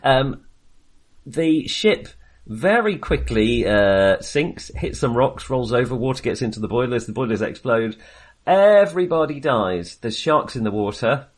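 A man co-hosting a podcast, chatting casually about films in a halting, jokey, self-deprecating way. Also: mid-range voice, animated. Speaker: podcast co-host. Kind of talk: casual film discussion.

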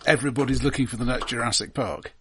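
A man's voice: mid-range voice, fast talker, a bit bright, slight background noise. A man co-hosting a casual film discussion, explaining details in an informed way with hesitant phrasing. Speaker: film podcast co-host.

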